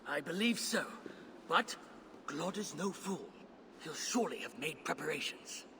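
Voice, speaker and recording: An actor playing an older dwarf smith, learned and earnest, speaking formally and with nervous deference in a video game. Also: male voice, on the high side, very animated, a little slow, sharp tone, slight background noise, full character voice. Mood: deferential. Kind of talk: video game cutscene acting.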